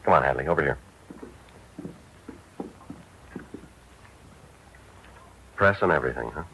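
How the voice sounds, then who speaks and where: Very deep, deadpan police detective. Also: male voice, very fast, a little hiss or hum, heavily acted. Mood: cool, firm. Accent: American. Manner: deadpan, matter-of-fact. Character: terse, unflappable male police detective sergeant narrating his case. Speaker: detective narrator and lead actor. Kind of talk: 1950s radio police drama, clipped narration. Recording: dull vintage radio recording with music.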